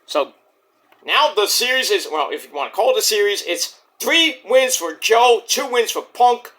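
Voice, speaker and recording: A man with a high, bright voice talks loosely through a wrestling recap. He rambles, swears freely and jumps into excited bursts. A sore throat is wearing his voice down. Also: moderate pace. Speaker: wrestling show reviewer. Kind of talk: casual spoken review.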